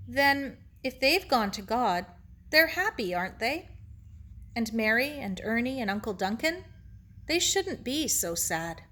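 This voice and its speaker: A woman narrator puts on a young girl from a children's story, earnest and a little troubled. The voice sits fairly high, with an innocent, questioning manner. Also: big pitch swings, a little slow, pushed and bright, full character voice. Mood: puzzled, hopeful. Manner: inquiring, innocent. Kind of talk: children's novel read aloud.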